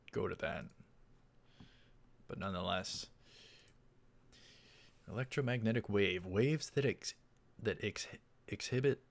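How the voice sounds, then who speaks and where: Low-pitched man reading a game story aloud. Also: vocal fry. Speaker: let's player reading a visual novel aloud. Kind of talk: reading story text aloud with casual asides. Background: game music.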